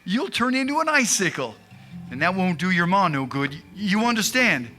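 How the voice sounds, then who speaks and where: A man playing an anxious, tender rural father in an old-time radio drama, speaking in a folksy, halting, urgent way. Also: high voice, sing-song, pushed and bright, soft background music, heavily acted. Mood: tender, worried.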